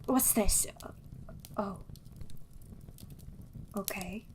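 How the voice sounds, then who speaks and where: An actress playing a weary, resigned, soft-spoken woman in an audio drama, breathy and hesitant. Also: medium pitch, drawn-out words, slight background noise. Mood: weary resignation.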